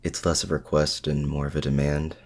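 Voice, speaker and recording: An extremely low, husky male voice with plenty of fry , a man acting out the part. He is a vulnerable, lovestruck supervillain in an intimate ASMR roleplay. His delivery is halting and earnest, with a protective tenderness. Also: flat delivery, a little mellow. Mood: tender, protective. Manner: halting, earnest, with hesitant restarts.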